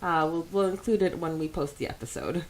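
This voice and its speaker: A woman's voice: deep voice, animated, a little fast, a little mellow, low-quality recording. A woman co-hosting a podcast, chatting casually and reading out comments in an informal, giggly way. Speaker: podcast co-host.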